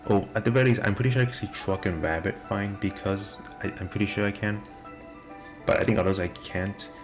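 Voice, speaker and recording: A young man with a deep voice and nonstandard R sounds, talking casually and self-deprecatingly to the camera. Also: steady tone, brisk. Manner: rambling, self-deprecating, many restarts. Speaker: vlogger. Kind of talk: casual rambling monologue. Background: video game music playing.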